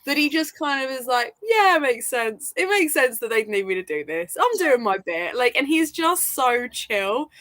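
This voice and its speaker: A woman chatting casually and emphatically about books in an informal, animated way, often checking in with the listener. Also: high voice, normal speed, few pauses. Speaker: book readalong panellist.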